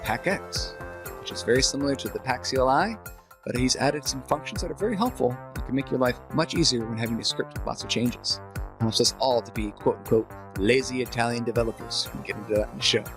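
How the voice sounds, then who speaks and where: A man's voice: medium pitch, a little fast, over music. A man hosting a casual tech podcast, joking and upbeat, with filler words. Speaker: podcast host.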